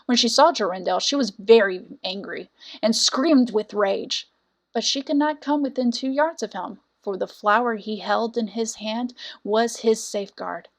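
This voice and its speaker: A woman reading a scripted fairy tale as a storyteller, with dramatic, deliberate phrasing. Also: mid-range voice. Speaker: storyteller, audiobook narrator.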